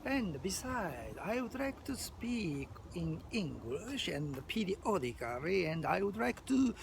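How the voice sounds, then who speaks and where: Older Japanese-accented man, video diarist, high lively voice, slow, halting; traffic noise behind. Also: gravelly. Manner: halting, many fillers and restarts. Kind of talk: unscripted video diary.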